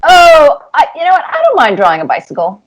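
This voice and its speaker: A woman's voice: high-pitched, huge pitch swings, fairly quick, poor audio. A woman in her fifties giving casual, thoughtful interview answers as a guest, with little anecdotes. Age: 50s. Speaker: cartoonist guest being interviewed.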